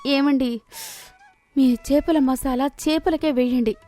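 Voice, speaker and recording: A woman's voice: high-pitched, fairly even intonation, takes it slowly, warm tone, soft background music, pitched above the performer's own voice. A woman storyteller voicing a dramatic, outspoken village wife who exclaims expressively in a cartoon moral story. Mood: scolding.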